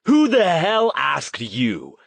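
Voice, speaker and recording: A man's voice: fairly low pitch, sing-song, brisk, dry recording. A man voicing a vain, hot-tempered ghost-show host with a projected, theatrical delivery, in an audiobook. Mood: angry, snapping.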